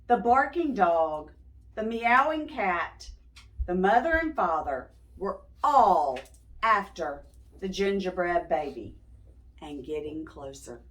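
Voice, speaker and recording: A woman's voice: on the low side, sing-song, slow pace, low-quality recording. A woman reading a story aloud to young children as a host, stopping in a teacherly way to prompt them with simple questions.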